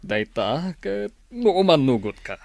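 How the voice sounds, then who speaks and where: A man playing an indulgent middle-aged father and company boss in an Ilocano radio drama, speaking with deliberate, weighty authority. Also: on the high side, very animated, gravelly, darkish tone, no room sound, full character voice. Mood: measured, authoritative.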